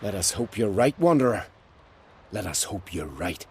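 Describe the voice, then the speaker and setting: An actor playing a devout pilgrim with a solemn, formal way of speaking in a fantasy video game. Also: male voice, lowish voice, very animated, normal speed, a little mellow, slight background noise. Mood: solemn, foreboding. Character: devout, solemn male pilgrim foretelling doom. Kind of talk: video game dialogue acting.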